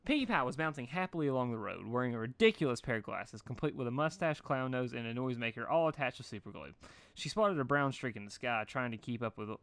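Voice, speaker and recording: A man's voice: mid-range voice, animated, fast talker, a bit bright, smooth, dry recording. A man reading fan fiction aloud in an informal, amateur storytelling style, occasionally stumbling over words. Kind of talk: animated amateur story narration.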